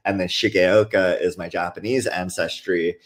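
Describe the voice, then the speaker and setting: A young adult man from Hawaii, a guest author talking in an earnest, relaxed way with lots of casual fillers. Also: sits low, moderate pace. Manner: earnest, relaxed, many fillers like "like" and "you know". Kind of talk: casual conversational interview.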